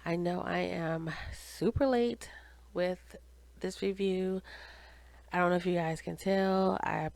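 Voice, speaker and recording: A woman's voice: on the low side, fairly even intonation, slow, creaky, a little mellow, no echo. A woman with a nasal, congested voice giving a chatty, casual TV recap straight to her viewers. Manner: chatty, conversational, addressing viewers directly. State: congested with cold or allergies. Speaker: video reviewer and channel host.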